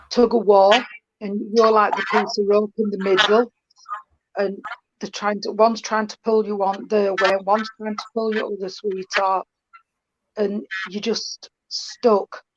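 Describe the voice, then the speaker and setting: Affectionate woman medium, lo-fi. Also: mid-range voice. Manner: affectionate, calls the sitter darling and sweetheart. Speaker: spiritualist medium. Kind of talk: live mediumship reading. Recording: dull lo-fi stream with faint noise.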